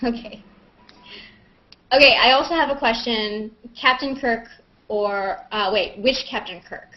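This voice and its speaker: A woman with a fairly high voice speaks through slightly dull, lo-fi webcam audio. She talks casually and digressively, thinking aloud and poking fun at herself as she hosts a live question session. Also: unhurried. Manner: self-mocking, giggly, digressive, thinking aloud. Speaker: actress and vlogger hosting a live Q&A. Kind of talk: casual rambling chat, answering questions on a livestream. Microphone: video call on a computer.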